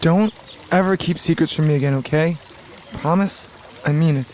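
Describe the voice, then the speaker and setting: An earnest, devoted boyfriend, played by a man with a fairly high male voice in a radio drama. Faint ambient noise sits behind him. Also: moderate pace, darkish tone, lightly acted. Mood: worried, insistent. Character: devoted, earnest boyfriend and amateur warfare buff.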